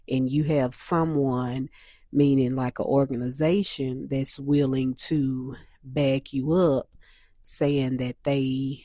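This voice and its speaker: A woman hosting a solo talk, a little stuffy and hoarse from a cold, speaking in a measured way that repeats and restates her points. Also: extremely low pitch, dark tone, no echo, clean studio sound. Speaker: host.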